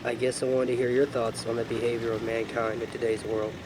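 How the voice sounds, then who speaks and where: A man's voice: mid-range voice, little pitch movement, fairly quick, noisy background, close to an everyday voice. A man acting as God, a heartbroken, loving father with a sorrowful, reflective manner in film dialogue. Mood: weary, probing.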